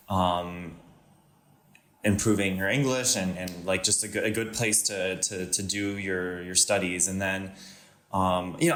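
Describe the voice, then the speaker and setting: A young man from Panama with a Californian-sounding American accent talks casually as a guest, pausing often and restarting his sentences. His voice sits a little low. The room around him echoes noticeably. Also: fairly bright tone. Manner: hesitant, many fillers and restarts. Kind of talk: casual conversation.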